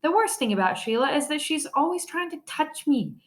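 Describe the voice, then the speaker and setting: A woman's voice: high-pitched, very animated, brisk. A woman teacher reading a children's story aloud expressively, stressing key words.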